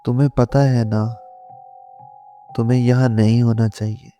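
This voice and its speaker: A man voicing a menacing unknown phantom caller in a horror story, speaking threats in a hushed manner. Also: mid-range voice, flat delivery, unhurried, soft background music, close to an everyday voice. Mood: quiet menace.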